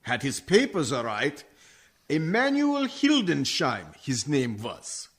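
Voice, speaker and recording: An actor in a radio play voicing an agitated foreign sea captain who speaks broken English. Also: male voice, fairly high pitch, huge pitch swings, slow pace, a bit bright, slight background noise, full character voice. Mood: businesslike.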